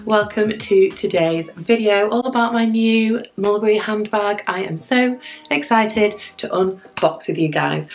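A young woman vlogger with a husky voice from a cold, chatting upbeat to viewers while unboxing a handbag in a room at home. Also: mid-range voice, poor audio. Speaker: fashion vlogger. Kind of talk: chatty product unboxing review. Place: home room with framed prints, indoors.

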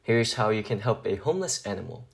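A man reading aloud for children in an expressive storytelling style. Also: lowish voice, fast, gravelly. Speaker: storybook reader.

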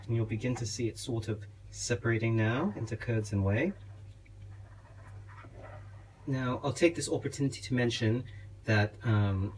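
A young man playing a genteel, affectionate elf king giving a gentle, hesitant, casual cooking demonstration. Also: mid-range voice, moderate pace, natural voice. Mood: gentle, instructive. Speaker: cooking show host. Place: small home kitchen, indoors. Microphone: camera a step or two away. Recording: clearly noisy kitchen recording.

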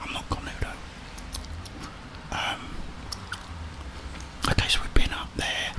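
Casting: man, 30s, constant breathy whisper, hushed muttered gameplay commentary for ASMR. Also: takes it slowly. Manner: hushed, muttering to himself with tag questions. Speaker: ASMR gaming streamer.

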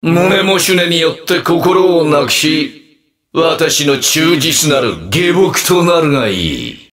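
An actor playing a pompous, controlling mastermind villain in a video game, with a condescending, grandiose, theatrical delivery. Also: male voice, a little high, expressive, takes it slowly, fairly bright tone, full character voice. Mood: cold menace.

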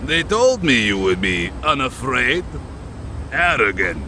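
A man's voice: medium pitch, big pitch swings, slow. A man voicing a coldly formal, menacing Soviet agent with a Russian accent and broken English, in a comic drama. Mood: formal, probing. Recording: noisy street ambience under voice.

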